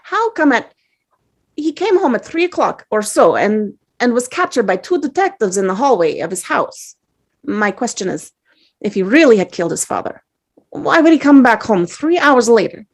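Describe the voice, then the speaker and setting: Woman playing a polite, high-voiced questioning juror. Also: big pitch swings, moderate pace. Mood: thoughtful. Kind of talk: dramatic script table read.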